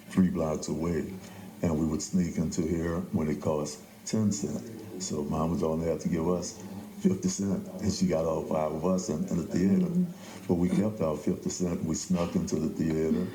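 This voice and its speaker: An older man, a playwright talking reflectively and haltingly as an interview guest. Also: a bass voice, flat delivery, mellow. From New Orleans, United States. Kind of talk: conversational interview. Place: echoey indoor theater. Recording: echoey room, faint background noise.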